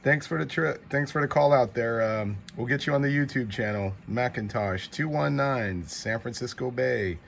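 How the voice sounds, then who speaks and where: A man's voice: mid-range voice, moderate pace, gravelly, few pauses, a little hiss or hum. A man working as a CB radio operator and channel host, talking informally in short calls and acknowledgements, repeating call signs. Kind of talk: casual CB radio contact calls.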